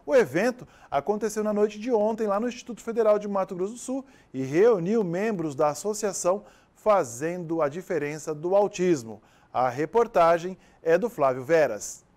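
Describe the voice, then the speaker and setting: A man anchoring the news in a TV studio with a brisk, formal delivery. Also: high voice, lively intonation, a little mellow.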